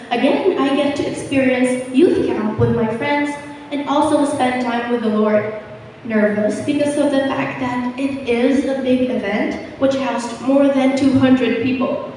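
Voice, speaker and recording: A young woman, a little hoarse and raspy from being unwell, candidly sharing a camp testimony. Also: high-pitched, darkish tone, a little hiss or hum. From Philippines. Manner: earnest, candid personal sharing. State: under the weather, slightly hoarse. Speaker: youth camper giving a testimony. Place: large church hall. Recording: echoey hall, mic from far off.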